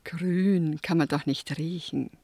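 An actress playing a blunt, impatient small-town secretary and lover in a film drama, colloquial and teasing. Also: female voice, low-pitched, steady tone, takes it slowly, vocal fry, mellow, dry recording, exaggerated voice. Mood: teasing impatience. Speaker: actor. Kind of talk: naturalistic film drama dialogue.

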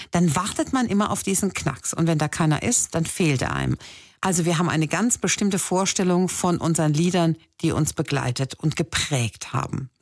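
A woman presenting a radio music feature, telling personal stories in a lively, chatty way. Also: fairly low pitch, dry recording, clean studio sound. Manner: lively storytelling, chatty asides. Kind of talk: personal scripted narration.

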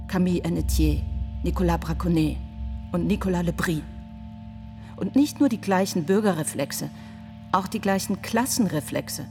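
A woman acting in a radio play as a sharp, indignant defence lawyer pleading in court, with a pointed, rhetorical manner. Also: medium pitch, lightly acted. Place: studio. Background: music.

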